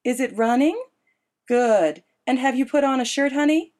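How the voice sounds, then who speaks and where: A woman's voice: sits high, moderate pace, darkish tone, pitched above the performer's own voice, lightly acted. A woman reading as a sweet, motherly middle-aged woman with a honeyed, coaxing way of talking. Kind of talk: audiobook character dialogue.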